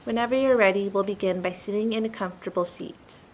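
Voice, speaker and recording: A woman's voice: medium pitch, quick pace, a little mellow, slight background noise, rough recording. A woman leading a guided meditation in a soothing, instructional manner.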